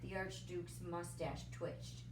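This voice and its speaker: A woman's voice: lowish voice, fairly even intonation, slow pace, echoey room, far from the mic, a little hiss or hum, poor audio. A woman reading a children's picture book aloud expressively, with chatty asides. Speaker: storybook reader, teacher. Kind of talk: read-aloud children's story narration.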